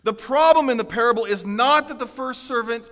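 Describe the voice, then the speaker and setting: A man's voice: extremely high pitch, brisk. American man preaching in a reverberant hall. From Orlando, Florida, United States. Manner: earnest, emphatic, swinging from forceful declarations to quieter storytelling. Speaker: pastor preaching to a congregation. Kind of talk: sermon.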